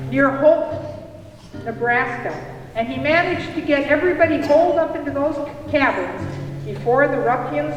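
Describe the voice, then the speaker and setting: A woman's voice: high-pitched, highs cut off. A woman narrating a puppet show, telling a story for children. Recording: echoey hall from far off, music.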